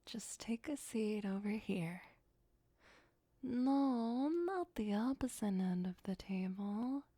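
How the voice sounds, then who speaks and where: A woman playing a possessive, doting vampire girlfriend in an ASMR roleplay, speaking softly and sweetly with breathy, often whispered delivery. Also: mid-range voice, no room sound. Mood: teasing, affectionate.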